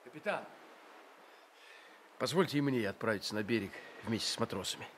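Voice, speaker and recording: An actor playing a restless, curious ship's doctor and traveller in a radio play, genteel and expressive. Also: male voice, medium pitch, a little fast, slight background noise, lightly acted. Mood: eager, wistful.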